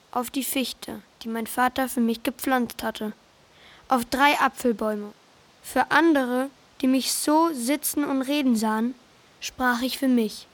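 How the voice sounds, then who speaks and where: An actress playing a wondering narrator of a rural childhood, speaking poetic radio-play lines in a measured, lyrical way. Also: female voice, on the high side.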